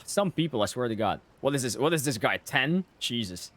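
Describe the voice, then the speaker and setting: A man's voice: medium pitch, animated, brisk, fairly bright tone. A man streaming a game and chatting casually and wryly with viewers in short, informal reactions. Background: game music.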